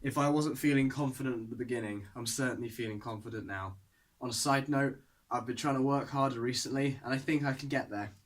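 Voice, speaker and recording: A man's voice: mid-range voice, fairly quick, hardly any pauses. Young man giving a casual vlog update. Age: young adult. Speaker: vlogger.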